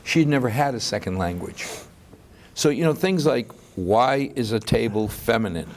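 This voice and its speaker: A man's voice: mid-range voice, expressive, normal speed, a little hiss or hum. A man whose first language is Portuguese, hosting a casual local talk show and telling stories with quoted asides. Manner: chatty, storytelling with quoted asides. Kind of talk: casual chat.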